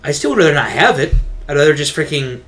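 A man's voice: on the high side, big pitch swings, quick pace, a bit bright. A man co-hosting a casual gaming podcast, ranting in a slangy, emphatic, exasperated way. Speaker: podcast co-host.

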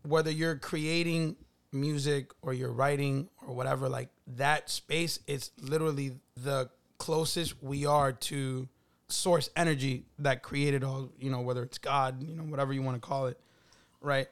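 A man's voice: mid-range voice, steady tone, moderate pace, clean tone. A man in a casual chat, using slang and often stopping to repeat and rework his phrases.

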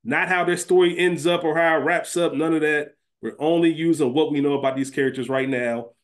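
A man's voice: on the high side, fairly even intonation, brisk. A man hosting a podcast, chatting casually about television in an informal, slangy, conversational way. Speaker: podcast host.

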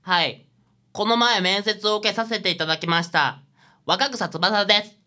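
A man's voice: high voice, normal speed, pushed and bright. A man playing a timid, polite, hapless young trainee detective in a radio drama. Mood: eager to please.

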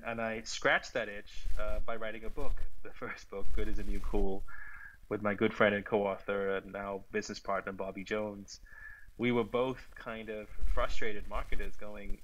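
A man's voice: on the low side, a bit bright, rough recording. A middle-aged man from Sri Lanka answering as an interview guest, articulate and reflective as he tells his story. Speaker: guest, marketing consultant and author.

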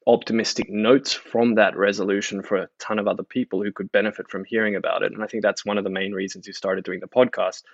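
A man's voice: lowish voice, little pitch movement, fast talker, few pauses, no echo, muffled recording. A man in his thirties with an Australian accent, talking casually and wryly as a podcast guest. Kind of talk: casual interview conversation.

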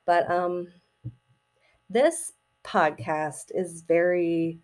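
A middle-aged woman with a slightly raspy voice hosts a personal podcast, speaking casually in a hesitant, reflective, halting way. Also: on the low side, a little mellow. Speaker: podcast host.

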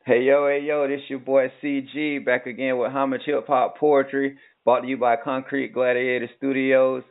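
A man hosts a hip hop interview show in a relaxed, friendly voice full of slang. His voice is mid-pitched, and the sound is narrow and tinny, like a phone call. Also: moderate pace. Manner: laid-back, friendly slang. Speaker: podcast host.

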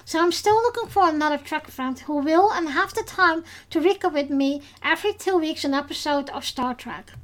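High-voiced Dutch woman hosting a podcast. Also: moderate pace, hardly any pauses. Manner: hesitant, many fillers and restarts. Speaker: podcast host. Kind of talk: casual podcast chat.

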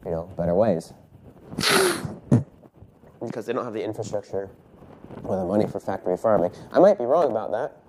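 A man's voice: on the low side, huge pitch swings, normal speed, long pauses, background noise. A man recovering from a cold, congested and coughing, rambling casually through his solo podcast with many fillers and self-corrections. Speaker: podcast host.

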